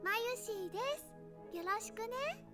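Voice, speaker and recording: A very high, swooping young anime girl, voiced in visual novel style. Loud game music plays behind the voice. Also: animated, a little fast. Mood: bubbly, childlike. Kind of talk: Japanese visual novel voice acting. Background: bright game music.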